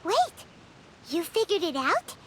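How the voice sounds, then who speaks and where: A woman voicing a tiny, excitable girl companion creature in a video game, who eagerly blurts out questions. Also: very high voice, unhurried, a little hiss or hum, exaggerated voice. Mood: curious.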